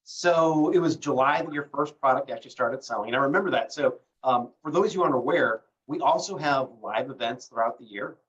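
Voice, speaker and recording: A man's voice: medium pitch, fairly quick, few pauses. Distant, muffled man hosting a call. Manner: relaxed, many fillers, promotional. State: recovering from bronchitis. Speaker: host.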